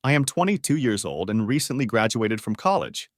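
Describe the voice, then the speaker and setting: A man teaching beginner English, reading short model sentences clearly and saying each one twice. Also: mid-range voice, very animated, no echo, studio quality. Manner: says each phrase twice, clearly and deliberately.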